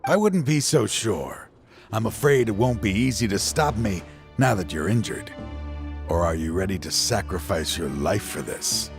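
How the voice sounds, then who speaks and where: A man playing a grieving, desperate middle-aged former lawman turned weary villain in a video game, speaking dramatically with a gravelly voice. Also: animated, music in the background. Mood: taunting. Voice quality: gravelly, low. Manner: slow, dramatic, brooding.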